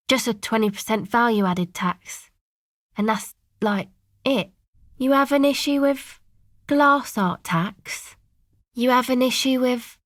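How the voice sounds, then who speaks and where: A dry, close studio recording of a woman playing a streetwise young woman in a video game. She talks in a laid-back, slangy way with offhand hesitations, blunt yet sympathetic, at a medium pitch. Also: no echo, close to an everyday voice. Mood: skeptical, dry. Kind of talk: naturalistic video game dialogue.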